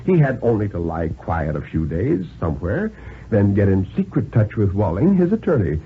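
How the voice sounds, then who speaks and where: A man gives a theatrical, deliberate dramatic reading of a story in 1950s radio style, with expressive pauses. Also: lowish voice, phone-quality sound, a little hiss or hum. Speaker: narrator reading a thriller story. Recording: band-limited vintage 1950s radio broadcast.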